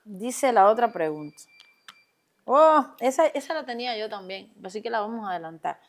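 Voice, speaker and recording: A woman's voice: fairly high pitch, moderate pace. A woman leads an interview. She moves between the questions with a playful, bantering energy and reads out what the audience sends in. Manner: lively and playful, reading out questions. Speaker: interviewer host.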